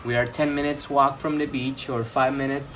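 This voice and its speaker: A young man from Mexico, Spanish-native with a Mexican accent, answering calmly with some hesitation. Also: medium pitch, brisk, slight background noise. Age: young adult. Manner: calm, hesitant with ums. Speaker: interview guest. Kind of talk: casual interview talk.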